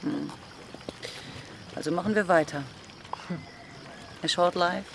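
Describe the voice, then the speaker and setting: A slow-spoken, low-voiced devoted pirate woman. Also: gravelly, noisy background. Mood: fond resolve. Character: devoted female pirate, loyal, loving, determined. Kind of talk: German radio drama acting. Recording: music behind the voice.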